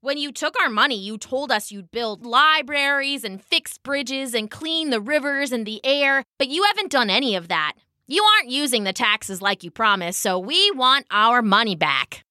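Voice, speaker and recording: A brave little storybook duck, as a woman reads him out, earnest and chanting his quacks. The voice sits high and bounces around a lot. It is close and dry. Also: lively intonation, moderate pace, hardly any pauses, pushed and bright, dry recording. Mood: indignant.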